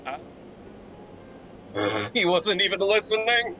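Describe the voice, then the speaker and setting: A man's voice: high voice, slow pace, pushed and bright, slight background noise. A man taking part casually in a stream, chatting and reading online comments aloud.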